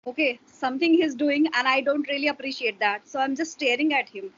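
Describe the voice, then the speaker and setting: High-voiced woman, halting and hesitant. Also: fairly bright tone, highs cut off. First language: Hindi. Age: adult parent. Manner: hesitant, many fillers like "you know" and "like". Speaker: interview guest. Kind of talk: casual explanatory interview talk.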